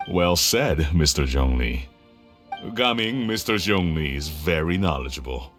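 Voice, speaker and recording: A man's voice: very deep voice, lively intonation, measured pace, fairly bright tone, faint music behind, heavily acted. A man voicing an older, humble tea merchant and father in a video game, speaking formally and politely. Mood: admiring.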